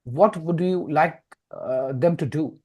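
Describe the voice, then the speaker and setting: Man, interviewer for a literary conversation. Very unhurried, somewhat high voice, deliberate and emphatic. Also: darkish tone, breathy edge. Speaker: interviewer, poet and publisher.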